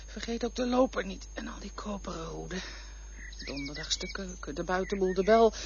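A woman voicing a weary, overworked mother in a radio play, her talk bitter and hurried. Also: fairly low pitch, gravelly, darkish tone. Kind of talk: radio drama acting. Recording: dull lo-fi recording with faint hiss.

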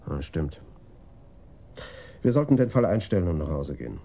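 An actor playing a gruff, world-weary police detective in a German radio crime drama, with a dry, blunt delivery. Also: male voice, low voice, very animated, moderate pace, warm tone, slight background noise, exaggerated voice. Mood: sardonic, weary.

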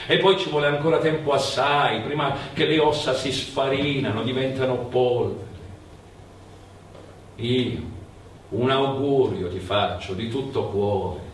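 Guy doing a solemn ghost priest, grim but kindly, slow and lowish, echoey stage storytelling. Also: natural voice. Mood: grave, musing. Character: dead priest with burnt face, solemn, grimly kindly. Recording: roomy, distant mic, faint noise.

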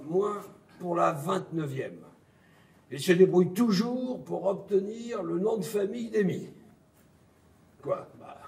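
A man's voice: sits high, gravelly, full character voice. A man acting on stage as a brash, domineering American army major in French, blunt, sarcastic and coarse in his speech. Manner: blunt, sarcastic, colloquial, swearing. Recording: distant echoey stage pickup.

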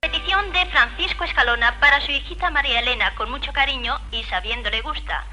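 A woman's voice: sits high, brisk, loud music behind the voice, poor audio. A woman with an accent from Spain reading listener dedications in 1950s radio announcing style, on a vintage recording. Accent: Spanish from Spain.

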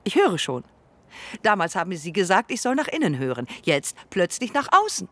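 An icy, imperious film director. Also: female voice, lowish voice, sing-song, moderate pace, no room sound. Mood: cold. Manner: haughty, clipped, icy. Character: imperious young female film director, cold and cutting. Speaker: director and actress on set. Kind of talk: radio drama acting.

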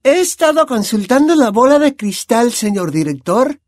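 A man's voice: very high voice, sing-song, normal speed, no echo, clean studio sound, voice pushed up, heavily acted. A man voicing an eccentric female seer with a distant, theatrical way of speaking. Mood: mystical.

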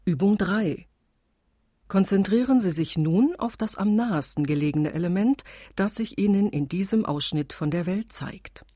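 A woman playing the narrator of an instruction recording in a radio play, reading steps in a measured, matter-of-fact way. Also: medium pitch, lively intonation, warm tone, breathy edge. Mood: calm, instructive. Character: calm, exact female narrator of an instruction recording. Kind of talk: scripted step-by-step instructions.

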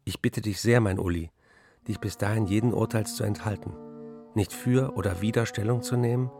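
A man's voice: fairly low pitch, fairly even intonation, a little fast, music in the background, close to an everyday voice. An actor playing an earnest middle-aged father, formally reading out his letter to his son in a radio play. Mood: earnest pleading. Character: earnest fifty-year-old father, a troubled writer writing to his son.

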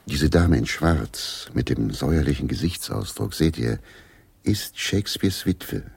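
An actor playing a genial, theatrical English stage player of centuries past in a German radio drama, courteous and expansive. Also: male voice, extremely low pitch, big pitch swings, unhurried, gravelly, breathy edge, no echo, exaggerated voice. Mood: amused, confiding.

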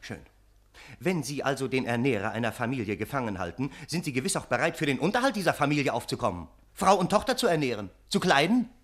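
An actor in a radio play voicing a sensible, concerned friend who questions with dry, exasperated scepticism. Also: male voice, medium pitch, very animated, quick pace, fairly bright tone. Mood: polite, probing. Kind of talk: theatrical radio play acting.

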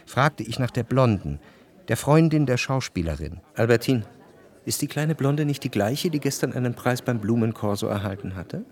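Male actor as a reflective gentleman narrator in a radio play, measured and introspective, mid pitched voice. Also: expressive, close to an everyday voice. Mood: probing. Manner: measured, introspective, long formal sentences. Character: reflective, jealous gentleman narrator recalling his love. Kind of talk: scripted literary narration in radio drama.

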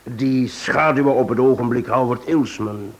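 Actor as a composed, formal police chief. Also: male voice, medium pitch, natural voice. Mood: measured, planning. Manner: measured, formal, courteous. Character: composed, courteous, firm male police chief inspector. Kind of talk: radio drama acting.

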